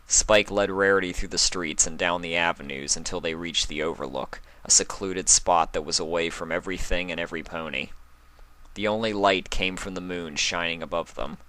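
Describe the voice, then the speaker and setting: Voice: deep voice, moderate pace, pushed and bright. A fan fiction reader giving a scripted narration of a romantic story.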